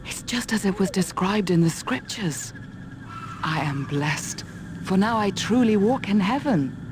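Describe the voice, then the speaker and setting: Proud, measured warrior woman played by an actress. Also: mid-range voice, animated, background noise, close to an everyday voice. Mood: reverent awe. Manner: measured, formal, resolute. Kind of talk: video game fantasy acting.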